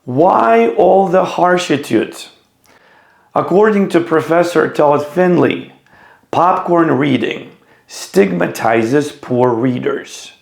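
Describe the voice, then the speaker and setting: A man giving scripted educational narration as a teacher, in a measured, deliberate way. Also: a little high, gravelly, darkish tone, reverberant. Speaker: teacher presenting an educational video.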